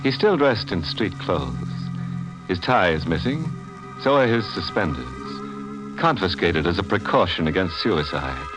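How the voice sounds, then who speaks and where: A sardonic narrator of old-time radio horror. His voice is deep and slow with theatrical swoops, set over music in a vintage recording. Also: big pitch swings, rough recording. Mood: ominous. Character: sardonic male horror narrator, ghoulishly amused.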